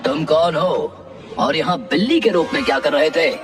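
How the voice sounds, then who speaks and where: Casting: bossy cartoon village wrestler acted by a man, highish swinging pitch, distant sound over music. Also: lively intonation, normal speed, natural voice. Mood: menacing. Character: stern, threatening male village wrestler. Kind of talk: Hindi cartoon dialogue.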